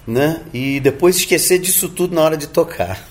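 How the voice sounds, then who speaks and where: A man's voice: on the high side, moderate pace. A man from Rio de Janeiro with a Brazilian Portuguese accent, answering interview questions thoughtfully, with many restarts and tag questions. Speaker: interviewed musician.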